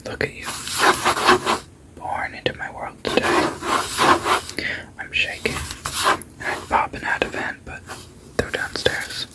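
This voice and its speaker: A person reading fiction aloud entirely in a soft, unvoiced whisper, at a steady, low-key pace. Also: unhurried, long pauses.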